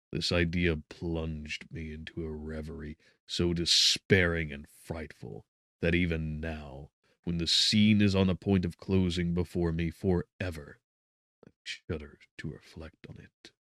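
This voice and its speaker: A man's voice: low voice, dry recording, clean studio sound. A man reading a gothic novel as an audiobook narrator, in a solemn, theatrical manner with swelling and falling volume.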